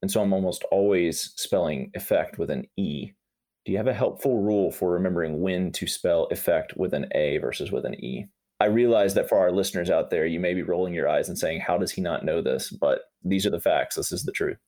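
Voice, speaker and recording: A man's voice: low voice, a little fast, darkish tone. A man, a doctor hosting, asking grammar questions in an earnest way with light humor. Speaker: physician host. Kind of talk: podcast interview questions.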